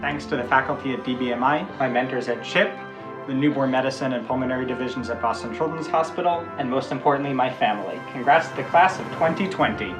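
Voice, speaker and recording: A man's voice: mid-range voice, fast, music in the background, low-quality recording. A man giving a short prepared thank-you message as a graduate.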